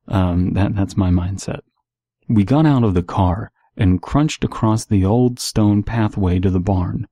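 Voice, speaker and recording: A man's voice: fairly low pitch, dark tone, dry recording, studio quality. A man reading stories aloud and giving casual, analytical commentary as the host.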